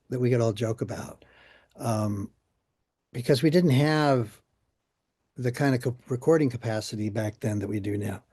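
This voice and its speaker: A man's voice: medium pitch, dark tone, dry recording, clean studio sound. An older man telling anecdotes casually and conversationally in an interview. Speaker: musician being interviewed.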